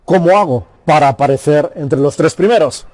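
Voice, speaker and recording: A man's voice: on the high side, moderate pace, a little mellow. A man presenting a lesson to small business owners, speaking directly and coaxing them to act. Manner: direct, coaxing, addresses viewers formally. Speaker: course presenter.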